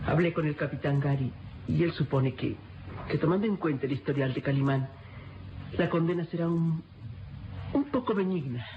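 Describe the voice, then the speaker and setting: Casting: actress as gentle young woman, consoling and measured, radio serial, dull recording with faint noise. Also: low voice, lightly acted. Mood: resigned. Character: gentle, concerned young woman, resigned companion. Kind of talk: radio melodrama acting.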